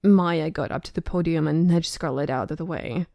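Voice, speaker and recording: A woman's voice: low voice, normal speed, creaky, warm tone, no room sound, dull audio. A woman narrating a scripted audio drama as the storyteller.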